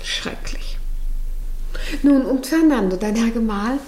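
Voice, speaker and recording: An effusive society gossip in a 1950s radio drama. Her voice is fairly high, slow and swooping, full of theatrical sighs. The old recording is roomy. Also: expressive, a little mellow. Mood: pious concern. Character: gushing, gossipy society lady visiting her cousin.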